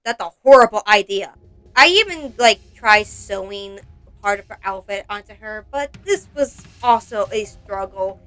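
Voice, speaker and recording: A woman's voice: mid-range voice, animated, moderate pace, a bit bright, soft background music, rough recording. A woman narrating a casual craft vlog in a rambling, self-deprecating and exclamatory way, as the creator of doll customization videos.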